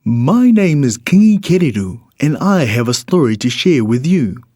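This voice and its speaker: A man narrates a rhyming picture book for children. His voice sits at a middle pitch and is recorded close and dry. He tells it expressively, in measured verse. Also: dry recording. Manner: expressive, measured storytelling in the character of a bird narrator. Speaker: storybook reader.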